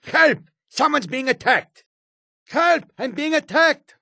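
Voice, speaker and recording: An actor playing a sly, menacing, proud humanoid cat warrior in a fantasy video game, with a husky, raspy voice and deliberate delivery. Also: male voice, extremely high pitch, expressive, bright, forceful tone, dry recording, clean studio sound, heavily acted. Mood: alarmed, shouting. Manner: slow, deliberate, often speaks of himself in third person.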